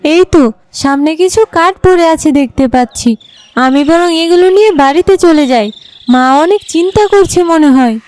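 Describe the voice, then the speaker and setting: Woman voicing a high-pitched dutiful village daughter. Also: expressive, normal speed, a little mellow. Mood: busy. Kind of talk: Bangla cartoon fairy tale dub.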